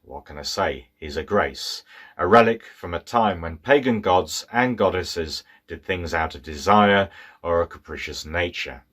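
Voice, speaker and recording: A man's voice: low voice, a little slow, a bit bright. A man giving conversational storytelling commentary as a narrator.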